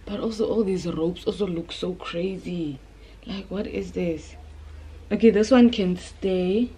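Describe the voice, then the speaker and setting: A woman's voice: fairly low pitch, unhurried, dark tone, slight background noise. A woman, chatting informally to camera and thinking aloud at home. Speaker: vlogger. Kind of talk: casual chat to camera. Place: indoors, bedroom and bathroom at home.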